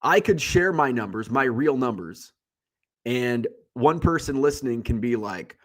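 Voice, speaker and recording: A man's voice: medium pitch, lively intonation, measured pace. A congested man hosting a livestream, talking in a casual, rambling, blunt way and swearing freely. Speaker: livestream host. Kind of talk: casual solo chat.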